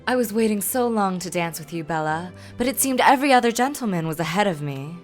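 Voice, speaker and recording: A woman voicing a courteous, smitten gentleman lord from a game, with formal, courtly phrasing. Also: mid-range voice, animated, fast talker, faint music behind, natural voice. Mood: courting. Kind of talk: reading game dialogue aloud.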